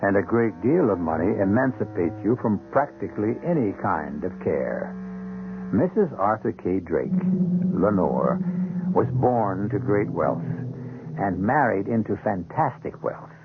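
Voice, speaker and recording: A man playing a wry, ominous storyteller hosting a radio mystery, with a theatrical, deliberate, sardonic delivery. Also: on the low side, expressive. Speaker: radio drama host and narrator. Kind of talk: 1970s radio drama narration. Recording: tinny vintage radio recording, background music.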